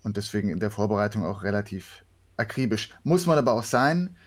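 A man's voice: on the low side, lively intonation, fairly quick. A man who works as an actor and voice actor, answering interview questions casually. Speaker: guest, actor and voice actor.

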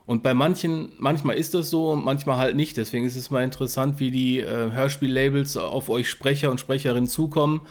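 A man's voice: mid-range voice, fairly even intonation, brisk, hardly any pauses. A man hosting a casual interview, feeling his way through his sentences with self-corrections. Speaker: podcast host and interviewer.

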